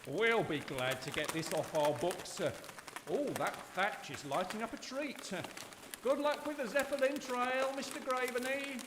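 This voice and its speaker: A man's voice: very high voice, moderate pace, very noisy. A man playing a fussy, posh, cheerfully sinister estate agent in a live radio drama, hesitant and chuckling. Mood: gleefully sinister. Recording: long hall reverb of live stage.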